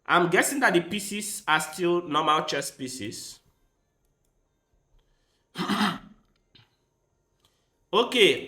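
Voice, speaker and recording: Male chess streamer, Igbo first language, bright lively delivery, unhurried, echoey room. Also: sits high. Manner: thinks aloud while playing, with quick bursts of excitement. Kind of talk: casual live-stream commentary.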